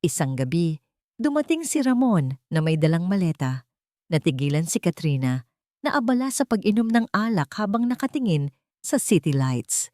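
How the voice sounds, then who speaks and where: A woman's voice: on the low side, sing-song, normal speed, mellow, no echo, clean studio sound. A woman reading scripted, dramatic story narration.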